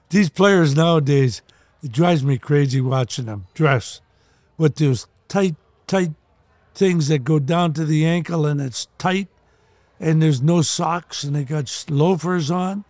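An older man in his eighties swaps hockey stories in a gruff, joking way. His voice sits a little high, and the close studio mic keeps it dry and free of room sound. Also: takes it slowly, a little mellow, dry recording. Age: 80s. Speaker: podcast co-host, retired hockey player. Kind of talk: casual reminiscing chat.

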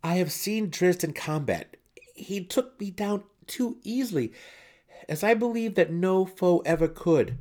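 A nervous young son, stammering and deferential, pleading his case to a feared mother, as a male narrator voices him. His voice is pitched well above a plain male reading voice and strains with worry. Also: expressive, unhurried, darkish tone.

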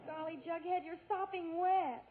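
A woman's voice: very high voice, normal speed, a faint crowd. A woman playing a sweet, eager, gushing teenage girl in 1940s radio comedy, earnest and exclamatory. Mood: apologetic. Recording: tinny 1940s radio broadcast.

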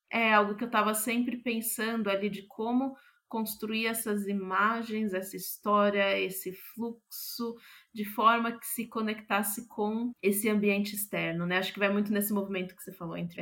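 A woman's voice: medium pitch, a bit bright. Reflective Brazilian woman in casual interview. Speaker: playwright and interview guest. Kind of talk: casual interview talk about own writing process.

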